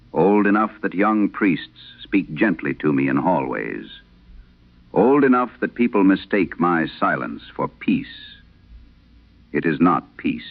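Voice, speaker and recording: An actor playing an aging, haunted priest, giving a slow, solemn confession in a grave, measured horror radio monologue. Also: male voice, rumbling low, gravelly, heavily acted. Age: older. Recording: muffled vintage radio sound.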